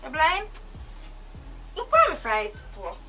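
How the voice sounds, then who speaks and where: A woman's voice: sits high, wildly swooping pitch, takes it slowly, distant mic, soft background music, full character voice. A woman playing a volatile young woman who holds a man captive, speaking, menacing at times and pleading at others. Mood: agitated. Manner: threatening, shifts to pleading and shouting.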